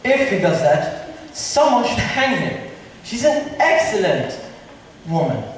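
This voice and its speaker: A man's voice: high voice, sing-song, slow pace, exaggerated voice. A man in an amateur school play, playing a nobleman slyly plotting a matchmaking trick. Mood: scheming. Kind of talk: amateur school stage acting. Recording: distant mic in echoey hall, noisy.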